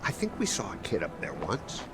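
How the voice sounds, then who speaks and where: A man's voice: low voice, huge pitch swings, normal speed, full character voice, low-quality recording. An actor playing a sarcastic young male Spartan cadet in a video game, over background music. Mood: casual.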